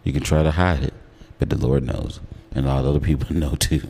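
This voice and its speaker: A man with an American accent and a deep voice, hosting a casual, opinionated radio talk show and taking care to enunciate. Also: fairly even intonation, fast, creaky, darkish tone. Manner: enunciates words carefully.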